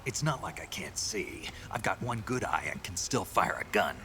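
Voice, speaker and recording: An actor playing a gruff, terse soldier spy in a video game, with a gravelly, dry American delivery. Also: male voice, fairly low pitch, animated, moderate pace, pushed and bright. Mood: stoic. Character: gruff laconic male soldier spy on a survival mission. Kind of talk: video game cutscene acting. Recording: noisy ambient background.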